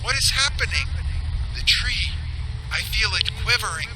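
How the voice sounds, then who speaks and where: Very high, plaintive elf. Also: male voice, lively intonation, normal speed, background noise. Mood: haunted. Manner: plaintive, formal. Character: mournful, fearful, ethereal male elf. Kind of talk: video game cutscene dialogue.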